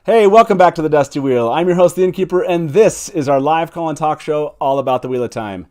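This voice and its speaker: A man's voice: a little high, a little fast, darkish tone, soft background music. A man hosting a live fan talk show in a casual, enthusiastic and emphatic way.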